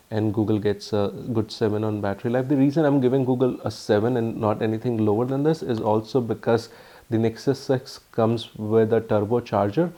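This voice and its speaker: Indian guy talking through a phone comparison, mellow low-key voice, lots of uhs. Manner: halting, frequent ums and uhs; earnest, explanatory. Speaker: tech reviewer. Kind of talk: casual product review.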